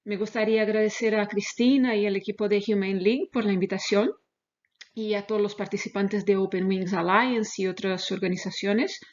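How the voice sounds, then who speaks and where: Woman, non-native speaker of Spanish, researcher lecturing, medium pitch, halting careful phrasing, muffled lo-fi online recording. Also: fairly even intonation. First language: not Spanish, speaks Spanish as a second language. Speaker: animal welfare researcher, webinar presenter. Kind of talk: webinar lecture with slides. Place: online webinar. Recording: dull, lo-fi webinar audio.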